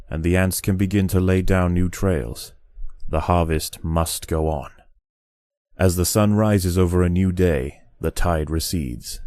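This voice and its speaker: A man reading scripted narration in the style of a nature documentary, with a measured, dramatic and solemn storytelling delivery. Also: low-pitched, mellow, dry recording, clean studio sound.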